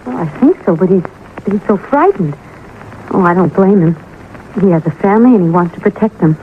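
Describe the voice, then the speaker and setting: An actress playing a sad but composed young widowed mother in a 1950s radio drama, speaking in a measured, hesitant, restrained way. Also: mid-range voice, animated, natural voice. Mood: troubled. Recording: noisy narrow-band old radio recording.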